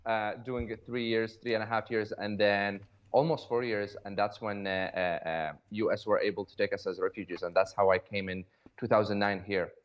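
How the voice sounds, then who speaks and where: A low-pitched man from Iraq talks casually as an interview guest. He tells his story in a hesitant way, doubling back on words as he looks for the next phrase. The audio is a little dull and lo-fi. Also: fairly even intonation, moderate pace. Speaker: podcast guest, cosmetic dentist.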